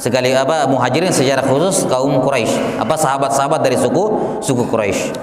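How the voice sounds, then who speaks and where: Teacher in an echoey hall. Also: male voice, mid-range voice, quick pace. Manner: explains patiently with fillers and repeats, mixes in Arabic phrases. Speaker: Islamic studies teacher. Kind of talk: religious lesson on a classical text. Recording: echoey hall with faint background noise.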